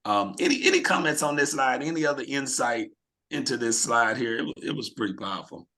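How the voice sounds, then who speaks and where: A slightly hoarse man hosting a team video call, rallying the group with questions in an energetic, motivational way. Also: medium pitch, brisk. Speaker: team leader hosting a real estate mastermind call.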